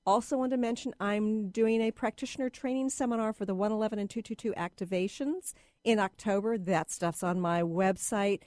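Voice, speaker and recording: A woman hosting a radio talk show, chatting casually with a guest, often pausing with fillers. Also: mid-range voice, fairly even intonation, a little mellow, no room sound, clean studio sound. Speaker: radio talk show host and energy healer. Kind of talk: casual interview chat.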